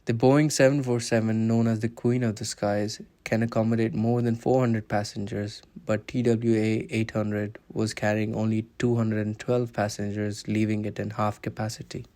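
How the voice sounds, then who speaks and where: A man's voice: fairly low pitch, level tone, normal speed, hardly any pauses, mellow. A man narrating a scripted documentary, explaining events step by step.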